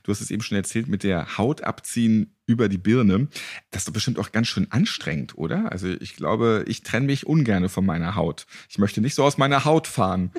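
Husky low-voiced man, jokey interviewer. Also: animated, brisk, a little mellow. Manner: jokey, colloquial reactions with wordplay. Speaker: interviewer, podcast host. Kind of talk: casual interview chat.

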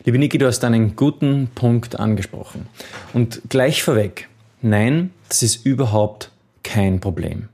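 A man's voice: mid-range voice, unhurried, warm tone. A man coaching on memory techniques, answering a viewer's question in an earnest, encouraging way with occasional restarts.

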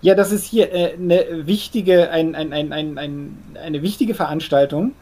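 A man's voice: a little high, measured pace, warm tone. A man playing a nervous, perfectionist vampire in a role-play audio drama, stammering and repeating words in a formal, earnest way. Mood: tense, earnest.

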